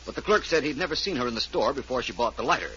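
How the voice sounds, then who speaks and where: A self-assured male detective from a vintage radio mystery. He talks briskly and precisely, with lively swings in pitch, on a muffled, hissy old broadcast recording. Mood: confident, explaining. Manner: polished, precise, coolly explanatory. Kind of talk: old-time radio detective drama acting.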